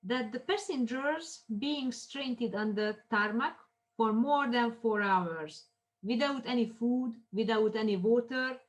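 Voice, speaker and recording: A woman from Armenia giving a classroom lecture in halting English, with many fillers and restarts as she walks through marketing case studies. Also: on the high side, rough recording.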